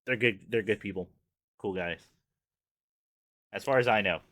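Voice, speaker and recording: A man's voice: lowish voice, unhurried, a bit bright, no echo. A tired man co-hosting a podcast, in casual chat, rambling and joking crudely with lots of laughs. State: tired, low voice. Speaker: podcast co-host.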